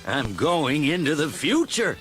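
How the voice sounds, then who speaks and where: An actor playing an excitable, eccentric old male scientist with a dramatic, emphatic delivery in a children's read-along story. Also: a little high, unhurried, faint music behind. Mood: proud, excited.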